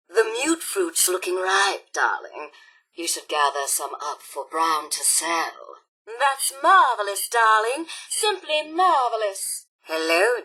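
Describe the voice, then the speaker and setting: A woman's voice: fairly low pitch, sharp tone, breathy edge, heavily acted, low-quality recording. A woman voicing a glamorous, haughty female robot supervisor in a video game, with a grand, affected theatrical drawl. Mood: grand, gracious, then proud, theatrical. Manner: grand, affected diva drawl, calls everyone darling.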